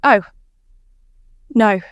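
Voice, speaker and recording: A woman's voice: drawn-out words, no room sound, muffled recording. A woman voicing a frantic man searching for his lost loved ones in a story reading. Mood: shocked, muttering.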